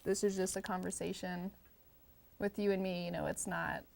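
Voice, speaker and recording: A woman's voice: fairly low pitch, level tone, normal speed, gravelly, darkish tone. A woman filmmaker answering interview questions casually, in a hesitant, informal way. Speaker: documentary filmmaker being interviewed.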